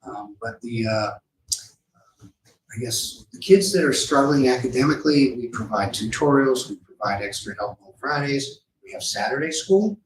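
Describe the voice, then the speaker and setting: A middle-aged man answering interview questions as a school principal, with halting, hesitant phrasing. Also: medium pitch. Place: small studio room, live recorded show. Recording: roomy, distant mic, faint background noise.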